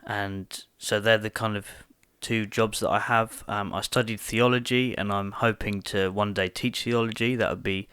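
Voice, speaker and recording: A young man co-hosting a casual podcast chat at home, thoughtful and hesitant, slightly croaky from a cold. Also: fairly low pitch, fairly even intonation, moderate pace, a bit bright, no room sound, clean studio sound. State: hoarse from a cold. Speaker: podcast co-host. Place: small music room at home.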